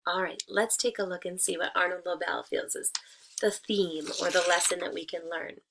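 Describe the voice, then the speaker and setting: A woman teacher giving a read-aloud lesson for children, speaking deliberately to a young audience. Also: on the low side, fairly even intonation, gravelly, fairly bright tone, a little hiss or hum, low-quality recording. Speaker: elementary school teacher.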